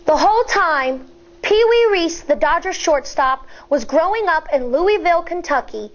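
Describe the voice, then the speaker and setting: High-pitched woman reading aloud to children. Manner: expressive, deliberate storytelling with explanatory asides. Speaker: elementary school teacher. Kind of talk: children's read-aloud with teaching asides. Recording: dull, lo-fi sound.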